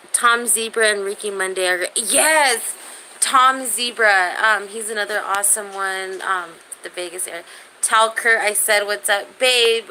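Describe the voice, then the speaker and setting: A woman's voice: mid-range voice, pushed and bright, low-quality recording. A woman in her thirties chatting casually on a livestream, talking straight to viewers and reading their comments, with slangy, profane language. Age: 30s. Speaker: live streamer chatting with viewers. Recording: faint background noise.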